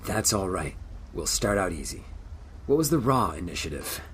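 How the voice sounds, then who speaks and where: An actor playing a sober, probing spaceship crewman in a sci-fi audio drama, speaking in a measured, naturalistic way. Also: low voice, big pitch swings, slight background noise. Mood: coaxing. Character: sober, probing spaceship crew member, interrogating.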